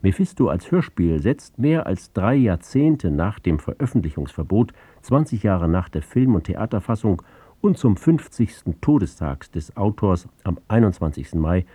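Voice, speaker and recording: A man's voice: low voice, sing-song, moderate pace, dark tone, husky, no room sound. A man presenting a scripted cultural introduction to a radio play.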